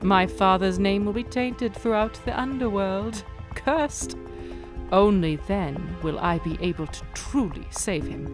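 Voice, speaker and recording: A woman plays a determined young heiress in a radio drama. She speaks at a middle pitch with poised, theatrical diction and cool resolve, with music behind her. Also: unhurried, natural voice. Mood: defiant, brooding. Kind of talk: radio play acting.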